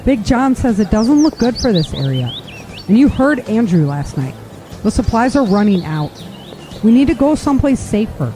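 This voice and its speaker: An actress playing a reassuring, resolute mother, earnest and gentle, in an audio drama. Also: a little high, big pitch swings, normal speed. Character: reassuring, resolute mother leading her family. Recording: noisy outdoor ambience with birds.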